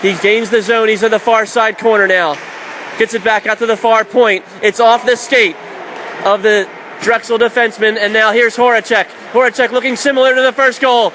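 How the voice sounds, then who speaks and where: A man's voice: high-pitched, steady tone, moderate pace, bright, forceful tone. A man doing live radio play-by-play of ice hockey in clipped, vivid phrases from a noisy arena. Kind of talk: live sports commentary. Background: clear arena background noise.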